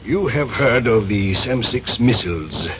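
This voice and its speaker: An actor playing a methodical, menacing Russian secret police agent with an authoritative manner in a 1970s radio drama. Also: male voice, fairly low pitch, expressive, unhurried. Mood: coldly menacing. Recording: muffled, noisy old radio recording.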